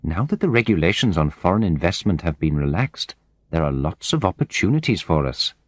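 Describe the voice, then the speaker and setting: An eager, earnest businessman, making his case in persuasive and slightly formal terms, as a male storybook narrator reads his letter. The voice sits low and is recorded dry and close. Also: very animated, a little fast, no room sound.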